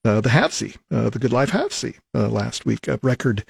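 A man's voice: mid-range voice, sing-song, moderate pace, gravelly, husky, dry recording. A man hosting a radio talk show in a studio, easygoing, with many fillers and restarts. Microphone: studio microphone.

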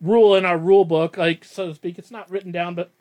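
Man, high-pitched voice, podcast host, casual rambling chat, self-deprecating, frequent laughs. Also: steady tone, quick pace, a little mellow.

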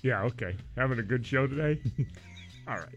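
A man hosting a casual morning radio show in a studio, a bit hoarse, talking in an animated, rambling way and laughing easily. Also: medium pitch, moderate pace, lo-fi sound, faint music behind. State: hoarse, losing his voice.

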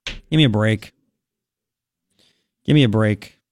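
A man's voice: fairly low pitch, slow, darkish tone, no echo, clean studio sound. A man with a Long Island, New York accent hosting opinionated political talk radio, emphatic and colloquial, repeating phrases for effect.

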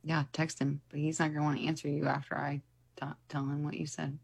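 A woman's voice: deep voice, little pitch movement, quick pace, vocal fry, breathy edge. A young woman co-hosting a radio morning show, giggly and teasing in casual banter. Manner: giggly, self-deprecating, teasing. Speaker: radio co-host. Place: radio studio.

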